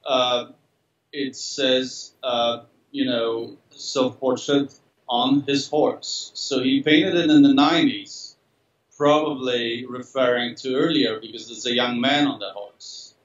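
A middle-aged man speaks at an unhurried, halting pace, full of fillers and self-corrections. His voice comes through a laptop video call, dull and far from the mic, with a roomy echo in the studio room. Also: mid-range voice, steady tone. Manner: slow, hesitant, many fillers and restarts. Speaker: interviewed artist. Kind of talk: thoughtful interview answers. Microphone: laptop webcam on a video call.

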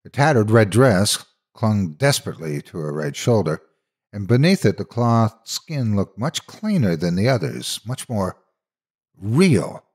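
Husky, expressive male horror narrator. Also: lowish voice. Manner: suspenseful, measured storytelling. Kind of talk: dramatic scripted horror narration.

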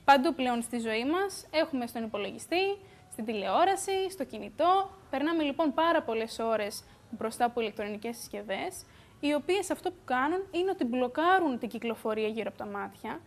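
A young woman, a beauty expert, explaining calmly in a TV studio on a lapel mic, with the odd "uh" filler. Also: sits high. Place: bright TV studio.

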